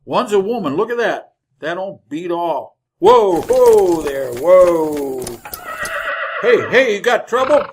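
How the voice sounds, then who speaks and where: An actor playing a garrulous, folksy wagon driver in a radio western, with an American Western accent and colorful rustic slang in a husky voice. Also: male voice, high voice, sing-song, slow, noisy background, exaggerated voice. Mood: astonished. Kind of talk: old-time radio western acting.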